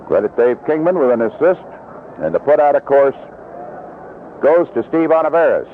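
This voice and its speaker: A man calling live baseball play-by-play on the radio in clipped, unhurried descriptive phrases. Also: mid-range voice, big pitch swings. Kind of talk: live radio sports commentary. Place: outdoor ballpark broadcast position. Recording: vintage 1970s radio broadcast, lo-fi, noisy.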